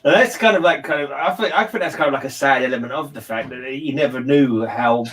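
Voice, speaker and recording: Male host, medium-pitched voice, informal book chat that loops back over its own phrases. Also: a little fast, fairly bright tone, recorded from a distance. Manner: rambling, repeats phrases. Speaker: host of a livestream read-along channel. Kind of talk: casual book discussion.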